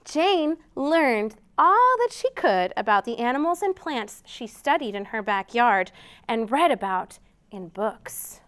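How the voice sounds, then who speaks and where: A woman's voice: a little high, very animated, slow pace, a bit bright, clear, resonant tone. A woman hosting a storytime for young children, reading aloud expressively and prompting them with simple questions. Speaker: children's storytime host at a library.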